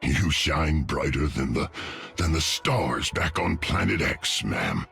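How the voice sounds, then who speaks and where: Very deep, slow, gravelly tree creature. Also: male voice, sing-song, bright, forceful tone, soft background music, exaggerated voice. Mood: earnest, polite. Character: big gentle tree creature, earnest, chatty. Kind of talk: video game character dialogue.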